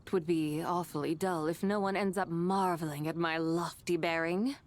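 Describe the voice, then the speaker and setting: A woman's voice: on the low side, fairly even intonation, normal speed, close to an everyday voice. A woman voicing an ancient, proud and mischievous horse spirit in a video game, with grandiose, archaic phrasing. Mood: showy, teasing. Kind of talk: video game character dialogue. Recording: game music behind the voice.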